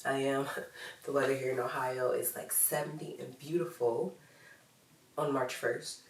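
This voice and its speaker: A woman's voice: a bass voice, fairly even intonation, a little slow, slight background noise. Casting: American woman, thirties, stuffy nasal voice, loose chatty vlog delivery, recorded off-mic in an echoey room. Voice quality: nasal, congested. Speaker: fitness vlogger. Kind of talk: casual vlog update to camera. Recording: roomy echo, distant microphone.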